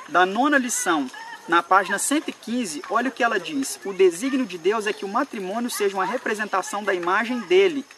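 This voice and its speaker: A man's voice: high voice, expressive, brisk, bright, forceful tone. A young man gives an earnest personal religious testimony, speaking unhurriedly with hesitations and restarts, recorded outdoors. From Brazil, born in Minas Gerais, raised in Espírito Santo. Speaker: church member giving a testimony. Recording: far from the mic, faint outdoor noise.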